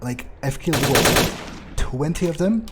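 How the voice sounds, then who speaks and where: A man's voice: a little high, animated, measured pace, very noisy. A man playing a cocky, nonchalant vampire, muttering his thoughts aloud in character as game commentary. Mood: cocky, casual. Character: nonchalant, cocky male vampire, casually menacing. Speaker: gameplay streamer role-playing a character.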